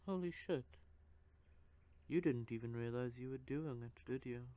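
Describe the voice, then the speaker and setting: A man voicing a cocky, possessive male vampire who teases with soft whispered asides, in an audio roleplay. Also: mid-range voice, unhurried, clean tone, lightly acted. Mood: teasing. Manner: teasing, smug, with soft whispered stretches.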